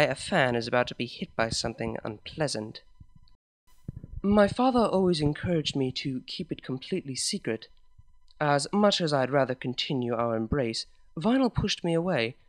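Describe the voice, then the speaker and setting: A man reading fanfiction aloud in an expressive, animated way, and speaking as host of his reading channel. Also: on the high side. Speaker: fanfiction reader. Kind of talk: story reading.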